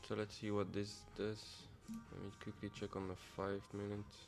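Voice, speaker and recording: Laid-back young man, low voice. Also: monotone, moderate pace, creaky, soft background music. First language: Dutch. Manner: casual, loose, musing half to himself. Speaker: trading livestreamer. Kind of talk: live-stream commentary, thinking aloud.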